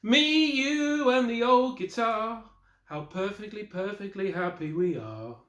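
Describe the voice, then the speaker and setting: A devoted storybook tomcat, played by a man, earnest and singsong. The pitch is lifted high, and the voice rings in an echoey room. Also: unhurried, fairly bright tone. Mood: happy singing. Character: devoted male tabby cat, a busker's singing companion. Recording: roomy, echoey indoor recording.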